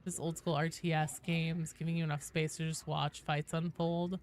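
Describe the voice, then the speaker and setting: A woman's voice: low voice, flat delivery, moderate pace, slight background noise. A woman in her late thirties chatting casually on a game livestream and reading out viewer messages, informal and sweary. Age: late 30s. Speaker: game streamer.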